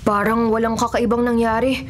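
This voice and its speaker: A woman's voice: fairly high pitch, little pitch movement, normal speed, natural voice. A woman playing a frightened, insistent young boy in a radio horror drama, earnest and dramatic. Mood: uneasy narrating.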